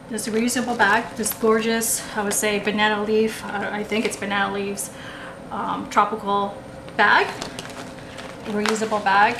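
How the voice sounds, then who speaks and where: A woman chatting casually through a haul at a table, rambling with lots of ums and restarts, recorded on the camera. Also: medium pitch. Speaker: haul vlogger. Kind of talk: casual show-and-tell haul. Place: indoors at a table, camera over the table. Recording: echoey room with background noise.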